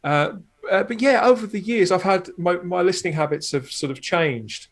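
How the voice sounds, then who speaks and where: A man's voice: sits high, normal speed. A man guesting on a podcast, talking casually and discursively, often interrupting his own sentences.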